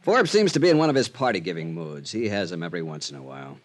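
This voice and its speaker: An American man playing a worried, insistent insurance company man in 1950s radio drama style, brisk and emphatic. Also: low-pitched, very animated.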